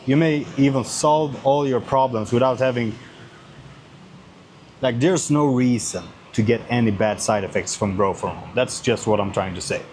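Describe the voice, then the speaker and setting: A man with a Swedish accent speaks in a medium-pitched voice, casually answering questions as a YouTuber. His delivery is blunt, peppered with swearing and repeated phrases for emphasis. Some ambient noise sits faintly behind his voice. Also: moderate pace, darkish tone. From Sweden.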